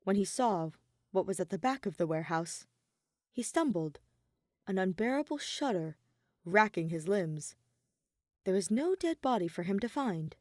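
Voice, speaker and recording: A woman's voice: medium pitch, animated, normal speed, pauses often, a little mellow, no room sound. Dry-recorded woman narrating dramatic fiction.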